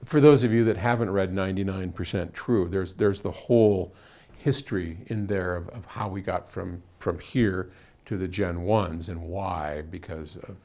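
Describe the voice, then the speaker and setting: A man's voice: low voice, normal speed, darkish tone. An older American man talking casually as a host answering viewer questions, explaining technical detail step by step in a conversational way.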